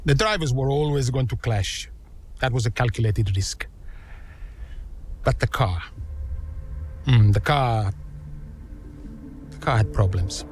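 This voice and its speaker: A man playing a measured, weary racing team owner in a game, answering deliberately in documentary-style interviews. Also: medium pitch, a little mellow, slight background noise, natural voice. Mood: rueful. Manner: deliberate, pausing.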